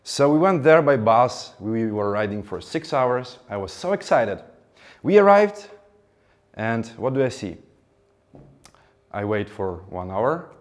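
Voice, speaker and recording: Man, mid-pitched, telling a personal story on stage and voicing the people in it. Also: a little slow, pauses often. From Ukraine. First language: Ukrainian. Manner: animated, acts out dialogue. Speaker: speaker. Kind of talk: motivational storytelling speech.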